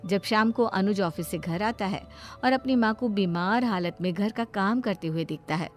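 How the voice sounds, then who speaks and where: A woman's voice: mid-range voice, normal speed. Woman narrating a story over light music. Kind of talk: scripted narration of a cartoon bedtime story.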